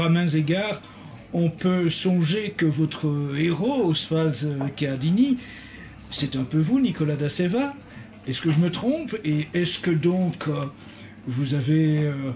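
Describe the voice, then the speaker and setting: A man interviewing an author, asking long questions in a measured, somewhat formal way. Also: on the high side, darkish tone, slight background noise.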